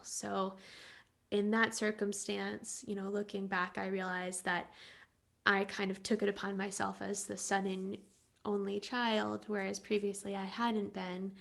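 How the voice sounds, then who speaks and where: Woman, congregation member sharing a reflective personal story, noticeable vocal fry, halting and sincere. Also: medium pitch, fairly bright tone. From United States. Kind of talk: personal testimony at an online church service.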